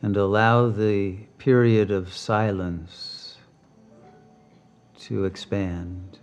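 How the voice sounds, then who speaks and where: A man leading a guided meditation as a teacher, speaking calmly and deliberately with long pauses. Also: lowish voice, clear, resonant tone, faint music behind.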